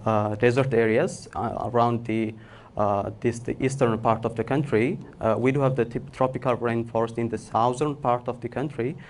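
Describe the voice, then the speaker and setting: A young man from Ethiopia giving a public lecture in a hall, pausing often with uh and restarting sentences. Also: medium pitch, a little mellow. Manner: halting, many filler uhs and restarts. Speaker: guest lecturer.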